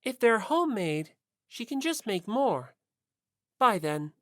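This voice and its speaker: A woman's voice: mid-range voice, slow pace, no echo, voice pushed up. An aloof teenage girl sleuth acted by a woman in an audiobook. Her delivery is cold, level and analytical, recorded close and dry. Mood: dismissive. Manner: cold, monotone, level-headed. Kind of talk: audiobook character voice.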